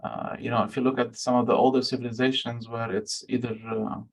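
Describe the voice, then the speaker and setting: A man's voice: medium pitch, flat delivery, fairly quick, gravelly. A man from Lebanon answering audience questions as a guest researcher, speaking frankly with frequent fillers and restarts.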